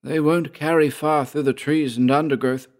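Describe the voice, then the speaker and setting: Man playing a hoarse, halting injured landowner, pitched high. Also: fairly even intonation, darkish tone, lightly acted. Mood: strained. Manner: slow, jerky, strained delivery. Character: Georg, injured forester landowner, proud, halting, softening old enemy.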